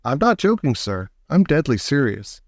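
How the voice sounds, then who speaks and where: A man's voice: medium pitch, big pitch swings, moderate pace, no echo, clean studio sound. Man voicing an eager, eccentric old factory owner. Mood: earnest, delighted.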